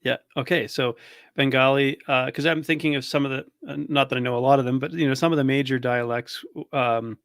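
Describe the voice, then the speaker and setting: A male podcast host chats casually in a dry, close recording. His pitch is medium, and he puts questions in a curious, tentative way, often murmuring acknowledgement before he goes on. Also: steady tone, a little fast, fairly bright tone, clean tone, no room sound. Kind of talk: casual interview.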